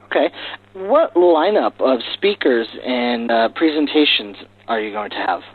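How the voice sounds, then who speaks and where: A man's voice: mid-range voice, expressive, a little slow. A man hosting a podcast, asking questions in a casual interview style, recorded in lo-fi call quality. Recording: lo-fi narrowband podcast call audio.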